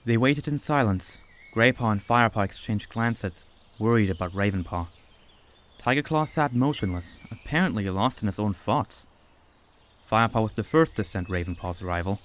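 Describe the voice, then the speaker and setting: A man narrates a storybook with steady, even delivery. His voice sits fairly low for a man.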